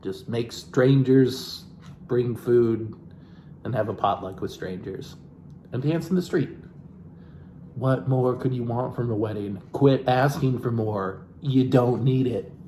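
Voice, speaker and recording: A man's voice: medium pitch, slow, mellow. A man reading a book aloud in a mocking, irreverent way, with casual, sweary asides. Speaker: reader and host of a comic book reading. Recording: roomy echo with background noise.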